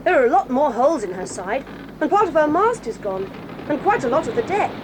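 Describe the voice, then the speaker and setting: Brisk tomboy girl, high bouncy voice, light hiss. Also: sing-song. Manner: brisk, matter-of-fact. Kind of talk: 1980s audio drama acting. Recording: faint hiss of old cassette.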